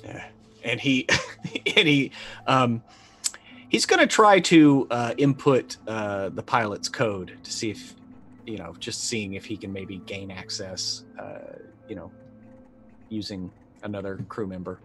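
A man's voice: fairly low pitch, a little slow, fairly bright tone, faint music behind. A middle-aged man playing a tabletop roleplaying game, narrating casually and thinking aloud in hesitant bursts. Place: indoor room, on a video call.

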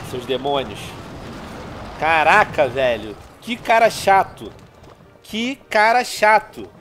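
Congested Brazilian man gaming on a headset. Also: fairly high pitch. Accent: Brazilian Portuguese. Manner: chatty, addresses viewers constantly, excitable outbursts. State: congested with a cold. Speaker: gaming YouTuber. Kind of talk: casual gameplay commentary. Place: small room at home, indoors.

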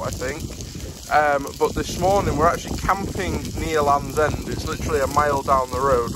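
A young man chatting casually to a handheld phone camera outdoors, giving a running commentary on a camping road trip with lots of fillers. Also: a little high. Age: young adult. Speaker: vlogger. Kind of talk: casual travel vlog. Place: outdoors on a windy coast and campsite. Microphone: phone held at arm's length. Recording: loud wind buffeting the mic. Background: loud wind noise.

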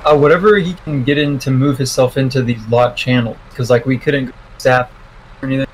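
A man's voice: mid-range voice, animated, brisk, a little hiss or hum, poor audio. A man chatting casually as a game streamer, swearing freely. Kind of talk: casual chat.

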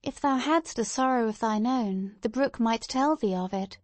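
A woman's voice: on the high side, normal speed, dry recording, natural voice. A woman voicing a sombre, firm mother in a storybook, speaking in an earnest, admonishing way with archaic phrasing.

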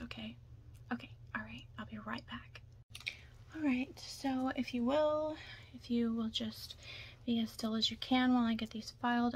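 Young woman for ASMR roleplay: breathy, near-whispered, fairly high voice, reassuring chat, noisy background. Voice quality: soft, breathy, often dropping into whisper. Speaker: ASMR roleplayer playing a makeup artist. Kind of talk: ASMR soft-spoken roleplay. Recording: clear background noise.

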